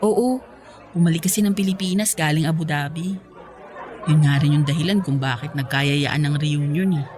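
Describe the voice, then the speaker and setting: A woman's voice: deep voice, steady tone, moderate pace, a crowd in the background, natural voice. An actress playing a chatty, candid single career woman, breezy and teasing with her friend in radio drama dialogue. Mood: hesitant, gossipy.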